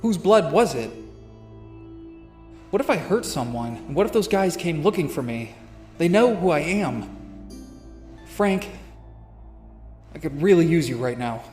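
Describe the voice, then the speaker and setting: A fretful man played by an actor with a somewhat high voice, dazed and unsure of his own mind. He tells his story in the first person, as in an audio drama, with music behind him. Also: animated, measured pace, pauses often, a little mellow. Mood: worried, confiding. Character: anxious, rattled man narrating his own memory loss.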